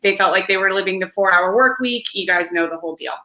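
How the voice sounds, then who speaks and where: A young woman, slightly hoarse and raspy, hosting a weekly live business show with enthusiastic, encouraging talk. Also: mid-range voice, quick pace, pushed and bright, roomy sound. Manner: enthusiastic, frequent laughs, encouraging. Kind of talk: upbeat livestream talk.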